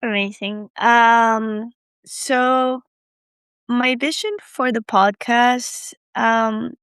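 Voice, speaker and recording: A woman from Argentina co-hosts a podcast and talks casually, often pausing on ums and likes. Her voice is smooth and clear, recorded close and dry. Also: a little high, steady tone, fairly bright tone, dry recording. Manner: hesitant, many ums and likes. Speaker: podcast co-host.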